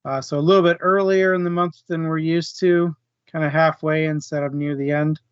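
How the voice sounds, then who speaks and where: A man's voice: a little high, steady tone, brisk, clear, resonant tone, dry recording. A man co-hosting a book club show, chatting casually about reading. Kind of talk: casual book discussion chat. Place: live online stream. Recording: dull, lo-fi, dry audio.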